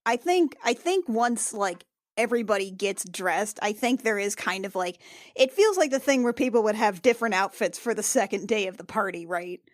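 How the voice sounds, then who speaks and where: A woman's voice: medium pitch, expressive, normal speed, no room sound, studio quality. A woman playing a tabletop roleplaying game, chatting in a casual, slangy, improvised way. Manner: slangy, conversational.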